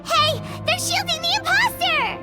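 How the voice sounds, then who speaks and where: A woman voicing a tiny, excitable companion creature who exclaims with wide-eyed surprise in a game cutscene. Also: very high voice, unhurried, loud music behind the voice. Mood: alarmed. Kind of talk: animated game cutscene acting.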